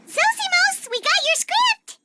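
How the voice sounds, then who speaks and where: A woman voicing a tiny, cheerful, childlike fairy companion in a video game, with a squeaky voice and a perky, blunt way of talking. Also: big pitch swings, unhurried, full character voice. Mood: curious, excited. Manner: exclaiming, refers to self in third person. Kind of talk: animated video game acting.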